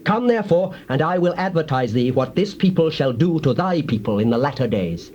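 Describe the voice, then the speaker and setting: An actor playing a solemn, defiant prophet in a dramatized audio Bible, declaiming grand oracles like incantations. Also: male voice, a little high, animated, brisk, darkish tone, dull audio, close to an everyday voice. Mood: firm. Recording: hissy old cassette tape.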